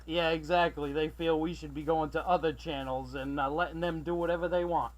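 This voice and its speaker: A man from Massachusetts chatting as a CB radio operator, friendly and excitable, calling out callsigns and sign-offs. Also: a little high, brisk, very noisy. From Massachusetts, USA. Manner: excitable, friendly, prone to raising his voice. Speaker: CB radio operator running the channel from South Carolina.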